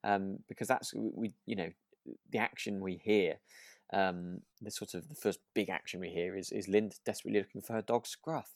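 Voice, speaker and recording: A man with a low voice, recorded dry. He talks casually as a podcast co-host. His delivery is tentative, often searching for the right word. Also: steady tone, fairly quick, dry recording. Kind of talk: relaxed casual discussion.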